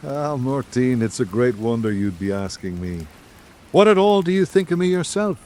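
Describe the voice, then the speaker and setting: A man playing a reflective traveller, narrating an island visit in measured literary prose for a radio drama. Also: medium pitch, expressive, a little mellow, slight background noise, natural voice. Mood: teasing.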